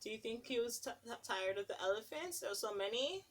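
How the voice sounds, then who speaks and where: A woman's voice: fairly high pitch, expressive, fast talker, fairly bright tone. A woman preschool teacher reading a picture book aloud to young children, stopping to ask them questions. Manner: sing-song, asks children questions between pages.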